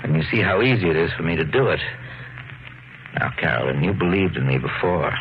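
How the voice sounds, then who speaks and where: Deep-voiced, controlling husband. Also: male voice, expressive, fairly quick. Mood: quietly threatening. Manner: commanding, coolly persuasive. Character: controlling, menacing husband suspected of murder. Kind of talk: 1950s radio thriller acting. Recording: muffled 1950s radio with faint noise.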